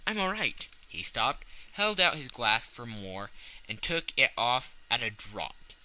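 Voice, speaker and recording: A man's voice: medium pitch, sing-song, slow pace, bright, forceful tone, poor audio. A man narrating classic fiction as a dramatic scripted audiobook.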